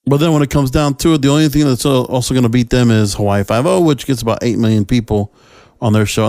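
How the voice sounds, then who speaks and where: A man's voice: mid-range voice, a little mellow, no room sound, studio quality. A man hosting a solo podcast, talking casually about entertainment in a rambling, opinionated, conversational way. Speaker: podcast host.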